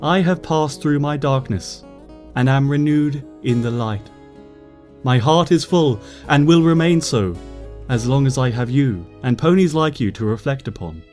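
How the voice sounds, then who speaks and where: A gentle, wise moon princess pony who talks in formal, old-fashioned phrasing, as a man reads her. Her voice sits at an ordinary middle pitch. This is a storybook reading with soft music underneath. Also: measured pace, close to an everyday voice. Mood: tender. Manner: formal, archaic-tinged, tender speech.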